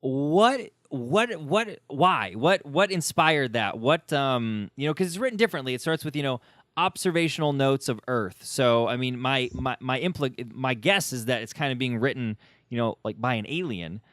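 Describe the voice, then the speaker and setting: A man's voice: mid-range voice, animated, moderate pace, a bit bright, dry recording, studio quality. A man hosting a podcast, chatting casually and informally with exclamatory reactions. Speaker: podcast host. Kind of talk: casual interview chat.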